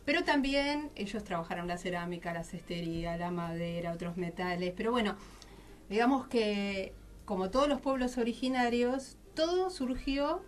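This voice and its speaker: A woman's voice: mid-range voice, lively intonation, normal speed. An Argentine woman speaking as an interview guest, explaining things reflectively and conversationally. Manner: reflective, explanatory, self-posed questions. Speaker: guest author of a book on Mapuche art. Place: radio studio.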